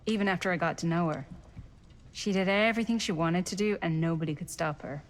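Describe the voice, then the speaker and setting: An actress playing a frank, reflective middle-aged American woman in a video game cutscene, speaking in a measured, plain-spoken way. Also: on the low side, close to an everyday voice. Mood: gentle, reflective. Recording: music under the dialogue. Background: background music.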